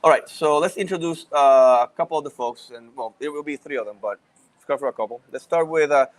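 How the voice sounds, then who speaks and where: A man with a Puerto Rican accent co-hosting a podcast at a live event, playful and quick to joke and laugh. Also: a little high, poor audio. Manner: playful, joking, laughs often. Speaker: podcast co-host. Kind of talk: casual chat at a live event.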